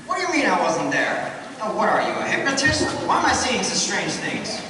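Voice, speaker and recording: A man's voice: sits high, sing-song, a little fast. A man playing a desperate, bewildered family man in a radio play, pleading and frantic, recorded from afar in an echoey hall. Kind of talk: amateur radio play acting. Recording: distant echoey hall with faint noise.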